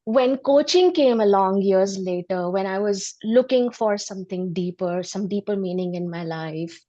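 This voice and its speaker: A woman's voice: mid-range voice, moderate pace, dark tone, lo-fi sound. A middle-aged woman from Delhi, a women empowerment coach, speaking earnestly and reflectively as an interview guest, laughing often. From Delhi, India. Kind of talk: interview conversation.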